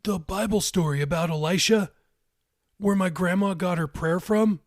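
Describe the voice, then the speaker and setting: A man's voice: high voice, normal speed, no room sound, studio quality. A man voicing a casual, matter-of-fact young male character in an audiobook. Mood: puzzled, casual.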